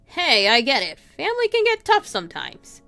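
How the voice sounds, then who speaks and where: Girl reading as gruff but kind older guy from a visual novel, caring, music behind. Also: high-pitched, wildly swooping pitch, normal speed, bright, forceful tone, voice pushed up, full character voice. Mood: reassuring. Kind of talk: visual novel read-aloud.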